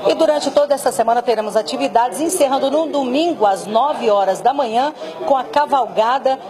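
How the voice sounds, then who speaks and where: A woman's voice: high voice, fairly quick, crowd noise. A woman in her fifties with a Brazilian accent, reading a formal news report into a handheld mic in an auditorium. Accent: Brazilian Portuguese. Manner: formal, announcing.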